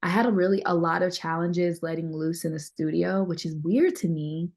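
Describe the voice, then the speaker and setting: Lady with a lowish voice, candid interview guest, chatty, repeats stuff for emphasis. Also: steady tone, normal speed, darkish tone. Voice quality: deeper voice. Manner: candid, conversational, emphatic repetition. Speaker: interview guest, singer-songwriter. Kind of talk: casual chat.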